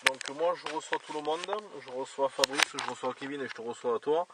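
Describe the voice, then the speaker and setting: Male radio operator, mid-pitched, casual procedural talk, noisy outdoor two-way recording. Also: brisk, distant mic. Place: outdoors by a roadside.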